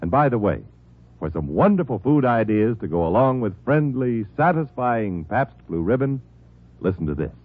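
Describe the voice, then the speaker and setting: Male American announcer, vintage radio commercial style, animated intonation, polished and salesmanlike, tinny recording, faint music. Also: medium pitch, moderate pace. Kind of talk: 1950s radio sponsor announcing, scripted.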